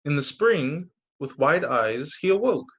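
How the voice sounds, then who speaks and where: A man reading picture books aloud to children as a teacher, expressive, with brief casual asides. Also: fairly high pitch, a little slow, slightly rough. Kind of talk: animated children's story reading. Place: remote video story time.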